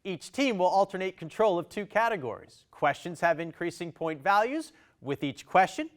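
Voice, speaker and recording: This is a man hosting a quiz show in a TV studio, speaking with a Maine accent. His voice sits a little high, and he moves through questions at a brisk, upbeat clip, tossing off quick reactions to each answer. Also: a bit bright. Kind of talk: reading quiz questions on air. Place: television studio.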